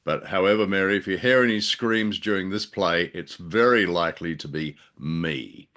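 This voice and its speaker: A man's voice: low-pitched, moderate pace, fairly bright tone. A man in his sixties hosting with casual, jokey, self-deprecating banter. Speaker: radio show host. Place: indoors on a video call.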